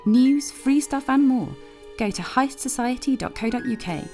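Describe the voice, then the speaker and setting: A woman reads a scripted promotional announcement as a voice-over. Her pitch is fairly high, with music playing underneath. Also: moderate pace, darkish tone.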